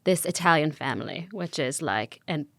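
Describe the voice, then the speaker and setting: Lady co-host on a comedy podcast, gushy and earnest, trips over words, lowish voice, dry sound. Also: lively intonation, moderate pace, gravelly, a bit bright, no echo. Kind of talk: improvised comedy chat.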